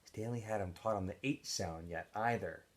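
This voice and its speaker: A man reading a story aloud in an expressive storytelling style, acting out the dialogue. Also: on the low side, moderate pace. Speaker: teacher reading a novel aloud. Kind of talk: storybook read-aloud narration.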